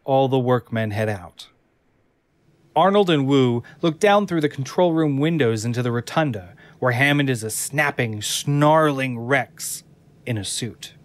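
A man's voice: medium pitch, expressive, unhurried, no room sound. A man narrating a scripted audio drama, reading screenplay action.

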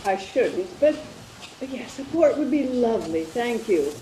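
An older woman plays a sensible, prim Edwardian confidante in a radio drama. Her voice is mellow and genteel, with measured wording, heard in a roomy space on a video call. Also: fairly high pitch, sing-song, a little hiss or hum. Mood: coyly genteel. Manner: genteel, measured period diction. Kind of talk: radio mystery play acting. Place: room at home, video call.

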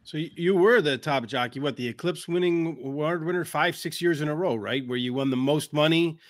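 A man's voice: a little high, fairly quick. A man hosting a show, interviewing a guest over a video call with friendly leading questions. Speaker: show host.

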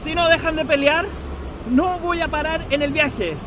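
A man's voice: very high-pitched, moderate pace, recorded from a distance, very noisy. A father scolding his children from the driver's seat while driving the family car. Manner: stern, scolding. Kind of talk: casual family talk mixing Spanish and English. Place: inside a car.

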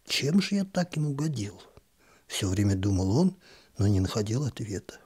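A man reads a literary story aloud in a calm, measured voice. His tone is dark and mellow, with a husky edge, and he sometimes sinks to a near whisper. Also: lowish voice. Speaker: narrator. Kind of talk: literary audiobook reading.

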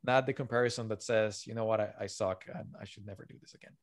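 A man's voice: fairly low pitch, fairly even intonation, fast talker, dry recording, clean studio sound. A man in his thirties whose first language is not English, an author explaining his ideas earnestly in a podcast interview over a video call. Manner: earnest, emphatic, gives examples. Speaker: author guest. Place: home room with bookshelves. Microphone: video call, headset.

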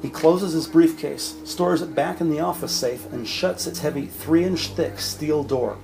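A man's voice: a little high, unhurried, gravelly. Male drama narrator over music. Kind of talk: scripted present-tense audio drama narration.

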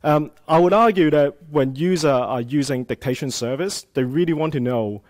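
A man's voice: on the high side, moderate pace. A male conference speaker, a native Cantonese speaker from Hong Kong, talks to a room full of developers. His delivery is loose and conversational, dotted with "um" and "uh" as he explains his slides. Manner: conversational, many "um" and "uh" fillers. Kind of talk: live tech talk.

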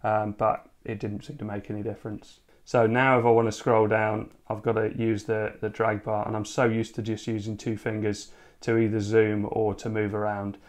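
A man's voice: lowish voice, monotone, normal speed. A man casually narrating a hands-on tech demo at home, thinking aloud with plenty of fillers. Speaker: tech video host. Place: home room, at a dining table.

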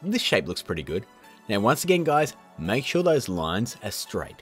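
A man in his thirties hosts a tutorial with a lot of swing in his pitch. He is chatty and jokey, keeping it informal, with music playing underneath. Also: very animated, moderate pace. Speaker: host of an effects tutorial channel. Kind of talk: casual tutorial presenting.

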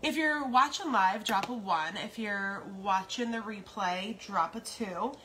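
A middle-aged American woman chatting casually and rambling a little as she cooks for an online audience in her home kitchen. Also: medium pitch. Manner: unhurried, rambling asides while busy cooking. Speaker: home cook and online host. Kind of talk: casual cooking livestream chat. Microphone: phone propped nearby. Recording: noisy kitchen, sizzling pan. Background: frying sizzle and stirring.

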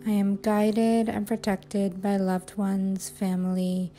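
A woman guiding a sleep hypnosis and meditation in a soothing, unhurried, measured way. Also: mid-range voice, completely flat delivery, dark tone. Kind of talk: guided sleep hypnosis, affirmations. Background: music.

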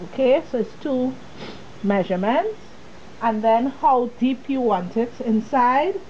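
A woman's voice: a little high, animated, measured pace, mellow, lo-fi sound, a little hiss or hum. Chatty woman with a cold teaching sewing. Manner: chatty, talks straight to the viewer. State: has a cold, sniffling. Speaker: sewing tutorial host. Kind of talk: casual step-by-step sewing tutorial.

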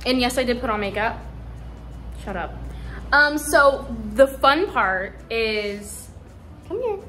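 Casting: teen woman vlogger, eighteen, bright-ish voice, dry sarcasm, music under her. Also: on the high side, slow pace. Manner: sarcastic, deadpan, swearing. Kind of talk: casual vlog chat. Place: car, then small hotel room. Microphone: phone held at arm's length.

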